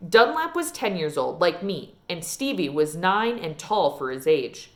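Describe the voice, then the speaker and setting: Animated woman reading a storybook. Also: lowish voice, a little slow. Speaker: read-aloud reader. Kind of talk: expressive storybook narration.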